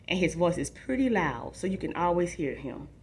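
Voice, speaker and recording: Woman reading as a husky, imaginative young boy. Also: on the low side, very animated, normal speed, heavily acted. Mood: confiding. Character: young boy narrator, imaginative, restless, longing for adventure. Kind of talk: expressive storybook read-aloud.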